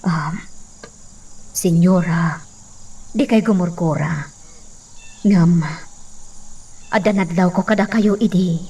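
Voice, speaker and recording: An earnest household servant in a radio drama. Her voice is on the low side and she speaks with deference, carefully laying out what she knows. The recording sits away from the mic, with insects behind. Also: takes it slowly, long pauses, dark tone, husky, recorded from a distance, full character voice. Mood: earnest, confiding. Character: respectful woman servant of a mansion, earnest confidante. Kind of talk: Ilocano radio drama acting.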